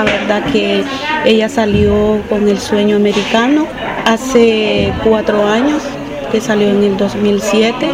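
A woman in her forties from Honduras, gives an earnest, pleading street interview as a migrant mother searching for her daughter, stumbling over her words at times. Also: medium pitch. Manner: earnest, pleading, halting restarts. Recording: loud crowd noise outdoors.

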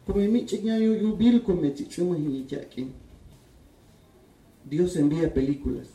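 Man with a dark voice, reading from far off. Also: high-pitched, a little slow. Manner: calm, steady reading voice. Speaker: writer reading his own text. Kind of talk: literary reading at a public event. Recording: echoey room, distant mic.

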